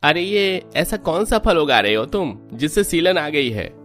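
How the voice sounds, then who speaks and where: An actor playing an annoyed, practical young Indian brother-in-law in a family cartoon. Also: male voice, a little high, big pitch swings, a little slow, a bit bright, soft background music. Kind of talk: Hindi family cartoon dialogue.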